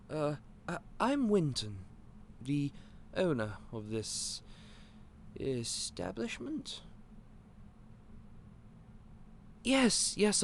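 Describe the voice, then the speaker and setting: An actor playing a gentle, clumsy candy shop owner who stammers and speaks in a polite, old-fashioned way. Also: male voice, on the high side, a little hiss or hum, heavily acted. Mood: flustered. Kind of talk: audio roleplay acting.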